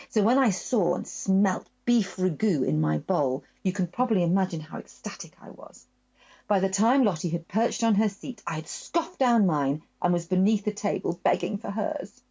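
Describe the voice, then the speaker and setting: A woman's voice: medium pitch, lo-fi sound. Woman reading a children's story aloud. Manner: gentle, expressive storytelling, first-person dog narrator.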